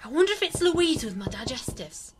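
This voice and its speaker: A woman's voice: high voice, big pitch swings, fairly quick. A woman with a British accent playing a sceptical, bewildered ordinary young woman in an audio drama, wry and colloquial. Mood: wry, musing. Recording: music under the voice.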